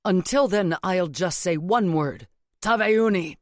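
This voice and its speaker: A woman voicing a stern mother-in-law in an audiobook, coolly angry, calm and measured. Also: fairly low pitch, no room sound, studio quality, close to an everyday voice. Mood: quiet threat. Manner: cool, calm, measured, menacing.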